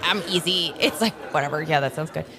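A woman playing a determined but flustered time agent, speaking haltingly and earnestly in an improvised audio drama. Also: low voice, music in the background, crowd noise. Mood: awkward, distracted.

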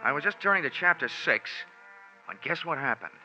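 A man's voice: fairly high pitch, lively intonation, fairly quick, pushed and bright. An actor playing a wry, flippant male insurance investigator in 1940s radio detective style, speaking with a sardonic manner. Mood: wry narration. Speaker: lead actor and narrator. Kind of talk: 1940s radio detective acting, wry first-person narration. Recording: dull vintage 1940s radio recording, faint music.

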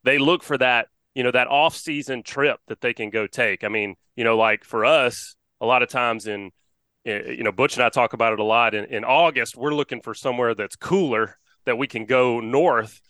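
Guy hosting a fishing podcast, bright punchy voice, dry close mic, talks loose and rambly. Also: mid-range voice, normal speed, no echo. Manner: rambling, energetic, lots of fillers. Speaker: podcast host. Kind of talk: casual chat.

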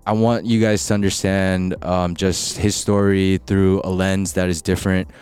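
A man's voice: low-pitched, level tone, normal speed, a little mellow, clean tone, soft background music. A man hosting a faith podcast, talking casually with many ums and likes. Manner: hesitant, many fillers. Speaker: podcast host. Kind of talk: casual interview.

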